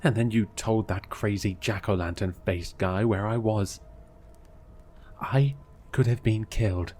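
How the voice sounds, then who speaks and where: An indignant heroine arguing hotly, read by a man in his own voice. The tone stays mellow and fairly low even when heated. A faint background hiss sits under it. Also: unhurried, close to an everyday voice. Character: angry young heroine arguing.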